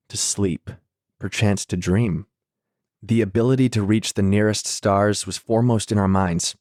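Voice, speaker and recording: A man narrates a dark science fiction short story in the first person, measured and somber. His voice sits fairly low. The recording is close and dry, with no room sound. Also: no echo. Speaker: audiobook narrator.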